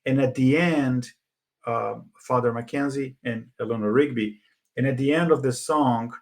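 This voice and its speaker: A man whose first language is Portuguese, co-hosting a podcast in a casual, halting way with many fillers and restarts. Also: medium pitch, darkish tone. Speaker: podcast co-host. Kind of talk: casual conversation.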